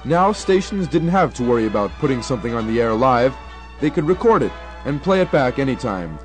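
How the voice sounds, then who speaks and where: A young man narrating a scripted radio documentary in a formal, measured announcer's manner. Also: mid-range voice, big pitch swings, faint music behind. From United States. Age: young adult.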